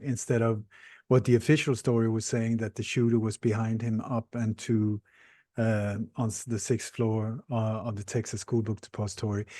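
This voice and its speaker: A man in his sixties, born in Denmark and raised in Sweden, speaking English in a podcast interview, explaining things earnestly and steadily with frequent pauses. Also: medium pitch, dark tone, dry recording, studio quality. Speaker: interview guest, researcher.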